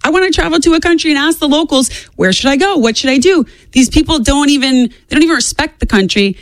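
A young woman in her twenties talks politics as a show guest. Her voice sits a little high and moves very quickly, stressing points by repeating them. The sound is dry and close. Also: dry recording. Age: 20s. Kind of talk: opinionated political talk.

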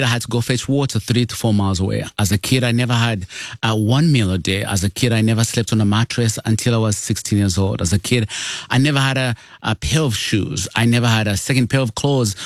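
A man's voice: lowish voice, dry recording, clean studio sound. A man with a Ugandan accent, speaking as an interview guest and telling personal stories in a conversational way. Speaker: guest, foster father and author.

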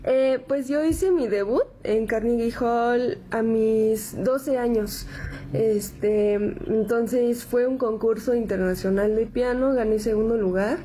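Mellow-voiced young woman guest. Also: on the high side, slow pace, slight background noise. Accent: Mexican Spanish. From San Antonio, Texas. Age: 20s. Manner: soft-spoken, many "este" fillers. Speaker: guest musician. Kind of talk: interview answers.

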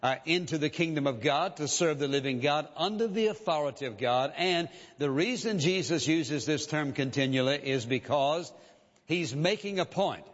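A man preaching a sermon as a pastor, measured and emphatic, repeating key phrases for weight. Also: a little high. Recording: dull lo-fi sound with long hall reverb.

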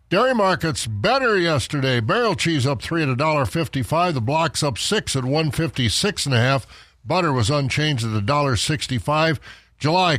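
Man, farm radio host, plain steady read, dry studio sound. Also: medium pitch, dry recording. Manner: plain, steady market readout with fillers. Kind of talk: farm radio market report and chat.

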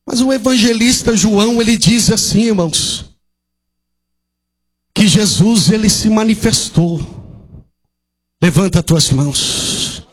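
A man with a very high-pitched voice preaches at a church service, drawing out his words at an unhurried, deliberate pace. He delivers an impassioned sermon as the pastor, asking rhetorical questions and punctuating his points with cries of hallelujah.

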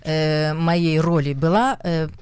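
Hesitant woman, smooth voice. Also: low-pitched, slow pace, no echo. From former Soviet Union. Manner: hesitant, many fillers, warming to her story. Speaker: actress, guest. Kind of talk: conversational interview.

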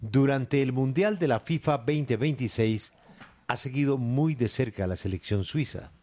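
A Colombian man hosts live news radio in a medium-pitched voice. He puts questions to guests and hands over to colleagues on air. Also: moderate pace, darkish tone. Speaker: radio news host and interviewer.

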